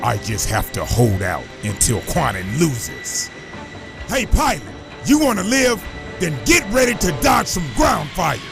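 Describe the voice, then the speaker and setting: An actor playing a defiant, menacing alien rebel with a gravelly voice and deliberate threats in a comic dub. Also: male voice, fairly high pitch, big pitch swings, heavily acted. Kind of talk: comic-dub drama acting. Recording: loud music over the voice.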